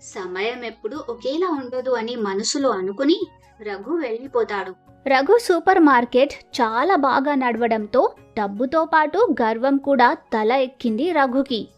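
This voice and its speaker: A woman's voice: high-pitched, lively intonation, unhurried, muffled recording, soft background music. A woman narrating a scripted village moral story as storyteller.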